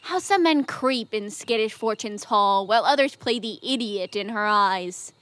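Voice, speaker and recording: A young woman in a Shakespearean audio drama, playing a shrewd male Greek commander with deliberate, rhetorical delivery. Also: medium pitch, expressive, fairly bright tone, dry recording, close to an everyday voice. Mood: feigned wonder, goading. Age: young adult. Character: Ulysses, shrewd, wily Greek commander, a man.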